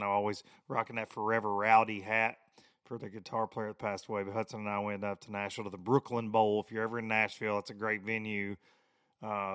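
A hoarse man in his forties with a Tennessee Southern accent, hosting a casual podcast in a folksy, rowdy way with loud bursts. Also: lowish voice, no echo, studio quality. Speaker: podcast host. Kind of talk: casual solo podcast monologue.

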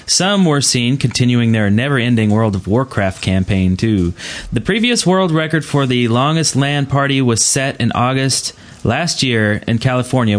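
A man hosting a podcast, chatting casually. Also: mid-range voice. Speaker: podcast host.

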